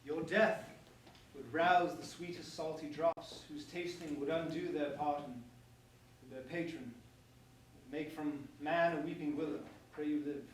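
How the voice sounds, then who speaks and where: A man's voice: fairly high pitch, steady tone, unhurried, lightly acted. A man playing a grandiose, lovestruck young prince in a staged verse comedy, with formal theatrical declamation. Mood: tender, earnest. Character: pompous young prince, grandiose, softened by love. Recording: very echoey room, distant mic, faint noise.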